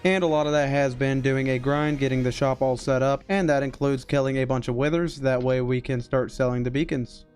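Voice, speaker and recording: Young male streamer, mid-pitched, a bit worn from illness, explains builds step by step over faint background music. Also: brisk, hardly any pauses. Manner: explanatory, steady tutorial delivery. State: recovering from illness, voice strained. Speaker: game streamer. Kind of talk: gameplay walkthrough narration. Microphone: headset mic.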